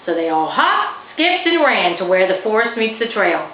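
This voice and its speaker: A woman's voice: mid-range voice, big pitch swings, normal speed. A woman narrating a children's storybook expressively, as an author reading her own work. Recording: roomy, slightly dull, faintly noisy recording.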